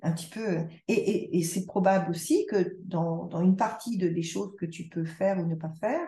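Podcast guest: woman, low mellow voice, reflective, restarts often, roomy echo. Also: normal speed. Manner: reflective, many restarts and repetitions. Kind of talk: conversational interview.

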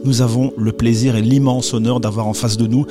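A man's voice: medium pitch, flat delivery, brisk. A man hosting a music podcast in a radio studio, talking in polished host patter with playful asides. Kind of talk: hosted music podcast talk.

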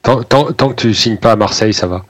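Man, radio host, low voice, relaxed casual chat. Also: fairly quick, slightly rough. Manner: relaxed, short backchannel replies and jokes.

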